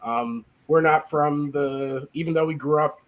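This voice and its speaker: A man's voice: a little high, fairly even intonation, unhurried. A man from Chicago, a guest on the show, reflecting on a family trip in a hesitant way with many ums and restarts. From Chicago, USA. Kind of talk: casual interview reflection.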